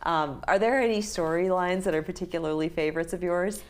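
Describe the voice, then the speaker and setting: A woman's voice: on the low side, fairly quick. A woman interviewing guests in conversation on a TV news show, with an enthusiastic, reactive manner. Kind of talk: conversational live studio interview. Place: TV news studio.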